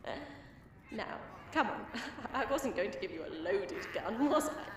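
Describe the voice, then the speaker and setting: A woman's voice: mid-range voice, expressive, a little slow, lightly acted. A woman playing a gloating, scheming villainess who mocks people in a sing-song voice and calls them dearie. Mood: mocking. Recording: roomy, distant, faint noise.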